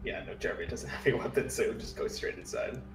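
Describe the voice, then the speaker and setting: A man's voice: mid-range voice, fast. Casual male player in an echoey room. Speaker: roleplay game player. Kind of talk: casual tabletop roleplay chat. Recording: roomy echo, faint music behind.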